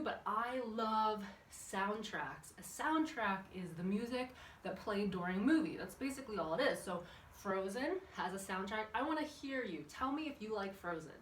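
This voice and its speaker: A woman's voice: medium pitch, expressive, normal speed, reverberant. Woman, children's fitness instructor, encouraging, question-filled delivery, distant microphone, some background noise, indoor room. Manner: chatty, encouraging, asks the audience questions. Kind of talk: instructional exercise class for kids, addressing viewers. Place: indoor room with windows open. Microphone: camera at a distance.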